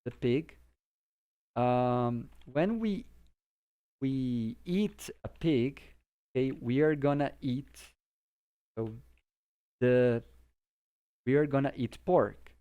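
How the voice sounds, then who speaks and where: A man's voice: mid-range voice, drawn-out words, long pauses, clear, resonant tone, highs cut off. A Brazilian man, a native Portuguese speaker, giving an informal English vocabulary lesson in simple explanations, often checking that the listener follows. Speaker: English teacher.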